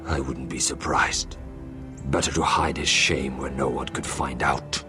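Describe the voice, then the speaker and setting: An actor playing a suspicious, blunt wildling warrior in a video game, with music underneath. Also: male voice, very deep voice, fairly even intonation, unhurried. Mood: distrustful. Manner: distrustful, blunt.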